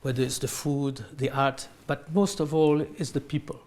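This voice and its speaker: A man with a French accent answering interview questions in halting, unscripted non-native English. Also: mid-range voice, steady tone, a little mellow. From France. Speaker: interview guest, real estate company owner. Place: in his apartment.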